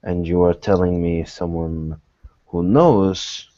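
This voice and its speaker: A man playing a formal, guarded private investigator in a tabletop roleplaying game, speaking in measured, deliberate phrases. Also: low voice, steady tone, dark tone, highs cut off. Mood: grave, deliberate.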